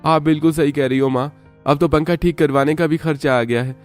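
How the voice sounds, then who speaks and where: A man's voice: a little high, steady tone, a little fast, a little mellow, faint music behind, close to an everyday voice. A man playing a poor family father in a cartoon story, fretful but caring, speaking earnestly. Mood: resigned. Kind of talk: Hindi cartoon story voice acting.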